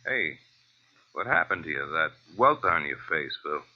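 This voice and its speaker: An actor playing a weary, matter-of-fact police lieutenant in a 1940s radio drama. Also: male voice, rumbling low, big pitch swings, measured pace, exaggerated voice. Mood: casual. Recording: muffled old-time radio recording.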